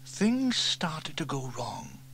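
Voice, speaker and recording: A man reads a children's story aloud in a very lively, swooping voice. He keeps it bright and animated for young listeners. A faint tape hiss runs underneath, as from an old cassette. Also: slow, vocal fry. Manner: lively, switches into higher voices for characters. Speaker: audiobook reader. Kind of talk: storybook narration with character voices.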